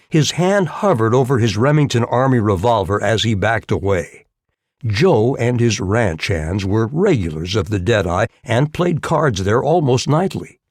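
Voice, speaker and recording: A man's voice: medium pitch, a little mellow, no room sound, studio quality. A man reading a western novel as scripted audiobook narration.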